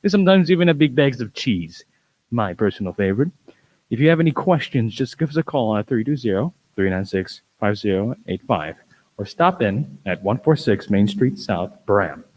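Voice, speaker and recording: A man's voice: on the low side, sing-song, moderate pace, a little mellow, no room sound, rough recording. A man reading a scripted local advertisement for a store.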